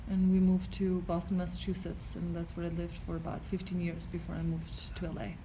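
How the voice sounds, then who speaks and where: Woman, lowish mellow voice, matter of fact. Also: monotone, a little fast, a little hiss or hum, rough recording. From Albania. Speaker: art student interviewee. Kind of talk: personal interview answers.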